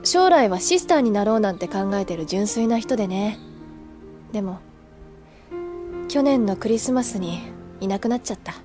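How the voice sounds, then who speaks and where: A woman's voice: medium pitch, lively intonation, normal speed, long pauses, music in the background, lightly acted. An actress playing a candid older schoolgirl in an anime audio drama, languid and teasing with a wistful streak.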